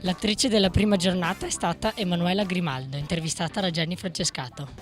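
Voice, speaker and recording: A woman's voice: fairly low pitch, fairly quick. A woman news presenter reading scripted newsreel narration. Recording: music playing behind the voice.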